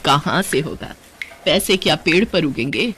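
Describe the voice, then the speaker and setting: A woman's voice: deep voice, expressive, measured pace, fairly bright tone, slight background noise, voice pushed down. A woman voicing a despairing, bitter elderly village mother-in-law who laments mournfully in a story.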